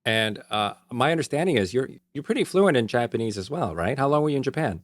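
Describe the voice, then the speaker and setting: Animated, chatty male podcast host. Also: mid-range voice, no echo. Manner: chatty, curious, quick to laugh. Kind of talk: casual interview chat.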